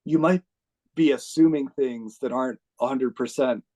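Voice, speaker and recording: Casting note: American man, ex-officer guest, warm relaxed tone, chatty anecdotes peppered with "like". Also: medium pitch, normal speed. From United States. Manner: chatty, heavy use of "like", laughs while telling stories. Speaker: interview guest, retired army officer. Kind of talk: casual storytelling.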